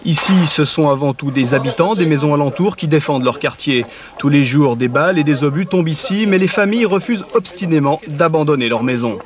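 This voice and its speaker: A man with a fairly high voice narrates a news report in an informative, descriptive way. He is heard from a distance, with the loud sound of a crowd behind him. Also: lively intonation, brisk. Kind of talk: documentary narration.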